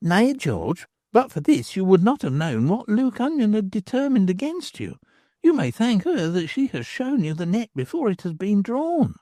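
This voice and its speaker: A woman's voice: medium pitch, sing-song, normal speed, warm tone, dry recording, clean studio sound, voice pushed up. A reader voicing a grave young woman who explains things in a formal, careful way. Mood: earnest.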